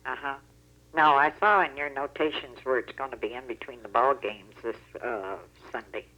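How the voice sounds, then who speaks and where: A woman's voice: extremely low pitch, moderate pace, sharp tone. A woman calling in to a radio show over the telephone, chatting casually and with emphatic enthusiasm. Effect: telephone line. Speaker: regular phone-in caller. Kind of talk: casual chat on a radio phone-in.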